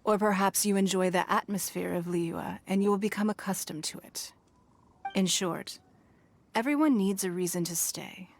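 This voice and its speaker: A woman voicing a shrewd, wealthy female city leader who speaks in a measured, authoritative, formal way. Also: mid-range voice, vocal fry, a little hiss or hum, natural voice. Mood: calm. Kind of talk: game cutscene voice acting.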